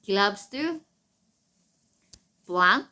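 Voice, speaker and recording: Slow-speaking woman teaching a child. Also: mid-range voice, expressive, bright, forceful tone. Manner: patient, questioning, simple repetitive phrasing. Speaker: mother teaching her child. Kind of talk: Bible story lesson with quiz questions for a child.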